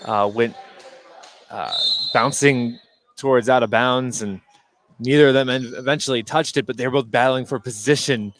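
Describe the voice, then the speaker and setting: A man giving conversational color analysis during a live basketball broadcast, thinking aloud in a halting way. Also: mid-range voice, steady tone, low-quality recording. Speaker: color commentator. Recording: live broadcast with faint background noise.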